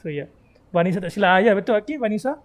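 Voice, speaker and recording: A man's voice: high voice, lively intonation, racing. A man with a Malaysian accent giving casual, chatty live gaming commentary as a streamer, explaining things in slangy speech. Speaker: game livestreamer.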